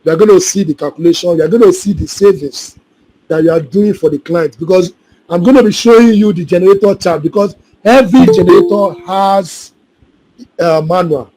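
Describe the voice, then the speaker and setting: A man's voice: high-pitched, fairly quick, dark tone, rough recording. A man teaching an online class on a video call, repeating points and often asking if students follow. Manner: repetitive, keeps checking students follow. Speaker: solar installation trainer. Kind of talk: live online class, answering questions.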